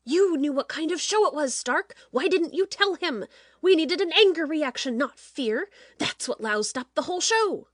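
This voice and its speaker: A woman playing a domineering, cold-blooded TV producer in a dramatic audiobook, speaking in a forceful, pointed way. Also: sits high, expressive, normal speed, dry recording. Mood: angry, harsh. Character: hard, calculating TV show producer, domineering.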